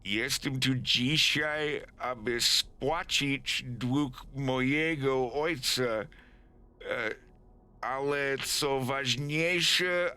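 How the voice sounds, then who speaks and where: A man voicing a desperate man who pleads for his life in halting, stammering repeats. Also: fairly high pitch, pushed and bright, clear, resonant tone, pitched above the performer's own voice, heavily acted.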